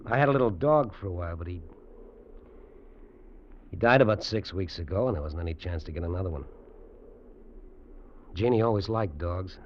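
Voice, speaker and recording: A man's voice: sits low, close to an everyday voice. An actor playing a lonely fugitive man longing for his wife, speaking earnestly and wistfully, in 1940s radio drama style. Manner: earnest, wistful and soft-spoken. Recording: dull old radio recording, faint hiss.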